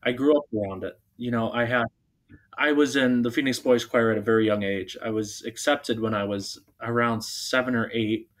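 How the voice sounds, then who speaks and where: Young man talking in an easygoing, halting way. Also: medium pitch. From Bulgaria. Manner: relaxed, halting, many ums and restarts. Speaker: opera singer guest. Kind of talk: casual interview talk. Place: radio studio.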